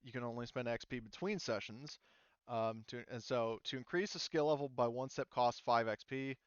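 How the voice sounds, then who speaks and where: A man's voice: medium pitch, normal speed, clear, resonant tone, no room sound, dull audio. A man working as game master, casually explaining tabletop game rules in an informal way.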